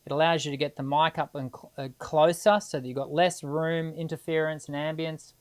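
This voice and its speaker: A woman's voice: low-pitched, little pitch movement, brisk, darkish tone. A middle-aged woman, hosting a live singing Q&A and answering viewers in a chatty, encouraging way. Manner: chatty and encouraging, many restarts and filler ums.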